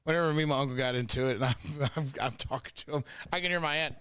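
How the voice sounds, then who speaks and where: A man's voice: medium pitch, very fast, a bit bright, clear, resonant tone. A man with a Texan accent chatting informally as a podcast co-host, trading joking anecdotes, swearing and mimicking the people he quotes. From Texas. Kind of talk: casual chat, joking anecdotes.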